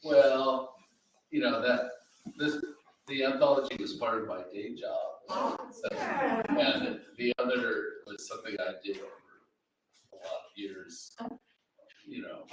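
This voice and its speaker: A man speaking informally as an editor at a public literary event, in a hesitant, halting way with false starts. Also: mid-range voice. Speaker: anthology editor and panel speaker. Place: reverberant library room, live event. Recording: very echoey room, distant mic, faint noise.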